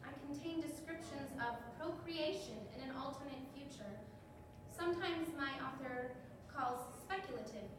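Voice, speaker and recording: High-voiced, defiant witness in echoey stage play woman acting. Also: normal speed, lightly acted. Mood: defiant, principled. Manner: earnest, emphatic, articulate defending of itself. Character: banned novel personified on the witness stand, female, earnest, defiant. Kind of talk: stage readers theater courtroom drama. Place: live stage performance in a roomy venue. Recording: echoey hall, distant mic, noisy room.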